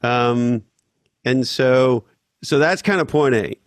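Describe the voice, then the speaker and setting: A man's voice: mid-range voice, slow, no room sound. Man, podcast host, teasing, casual swearing, dry close sound.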